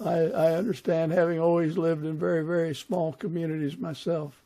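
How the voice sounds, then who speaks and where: A man's voice: a little high, little pitch movement, normal speed, warm tone. An older man hosting a podcast, chatting casually as he interviews a guest. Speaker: podcast host.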